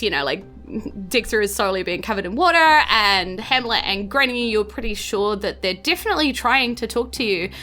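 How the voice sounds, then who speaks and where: A woman with a New Zealand accent running a tabletop game, improvising her descriptions in a halting, conversational way. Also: a little high, sharp tone. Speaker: game master of a tabletop game podcast.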